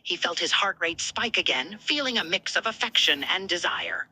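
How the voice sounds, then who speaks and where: A woman's voice: lowish voice, lively intonation, normal speed, pushed and bright, slightly rough. A woman reading scripted story narration as a narrator.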